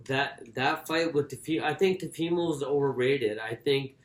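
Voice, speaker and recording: Man talking boxing in an echoey room. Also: mid-range voice. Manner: halting, repeats words and phrases. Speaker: boxing podcast co-host. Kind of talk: casual sports discussion. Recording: echoey, roomy sound.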